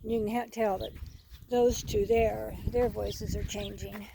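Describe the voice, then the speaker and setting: A woman's voice: mid-range voice, flat delivery, a little slow, vocal fry, mellow, poor audio. A woman giving a casual, rambling outdoor vlog commentary on a farm, folksy and often repeating her phrases. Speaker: farm owner filming own geese and ducks. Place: outdoors on a rural farm, under a tree. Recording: outdoor noise with clicks and birds. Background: geese and bird calls, outdoor noise.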